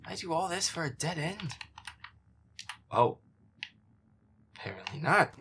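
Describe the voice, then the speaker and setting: A man playing a feisty, grumpy werewolf boyfriend who mutters and swears his way through a scary video game, often in a breathy whisper. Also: on the high side, noisy background, heavily acted. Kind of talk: boyfriend audio roleplay as gameplay commentary.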